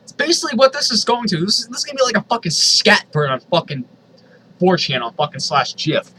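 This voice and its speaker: Guy doing a dramatic fanfic reading, lively bright voice, fed up and sarcastic, bit of noise behind. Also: sits high, brisk, rough recording. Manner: exasperated, sardonic, swears freely. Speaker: reader of online fan fiction. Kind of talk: dramatic reading with exasperated ad-libbed asides.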